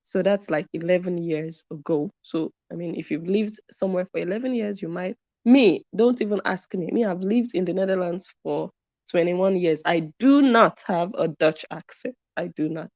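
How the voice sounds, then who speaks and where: A woman talks through a live stream, reading viewers' comments and driving her points home by saying them twice. Her voice sits a little low and sounds warm and mellow. The recording is dry and a bit dull, with a lo-fi edge. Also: moderate pace, dry recording. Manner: emphatic, repeats points for stress. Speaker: commentary channel host.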